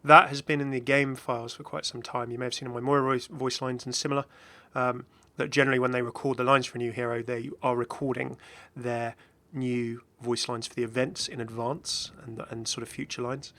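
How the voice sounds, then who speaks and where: A man's voice: mid-range voice, flat delivery, fairly quick, few pauses, pushed and bright. A man giving casual, chatty commentary as host of a gaming video. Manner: chatty, frequently chuckling.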